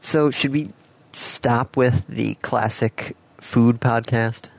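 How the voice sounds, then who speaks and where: Gravelly Midwestern man chatting casually. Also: medium pitch, steady tone, takes it slowly, no echo. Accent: American Midwest. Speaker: podcast co-host. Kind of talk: casual chat.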